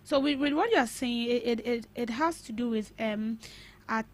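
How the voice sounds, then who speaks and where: A woman's voice: a little high, moderate pace. A woman with a Ghanaian English accent hosting a radio talk show, asking questions with frequent short affirmations and some stumbling over words.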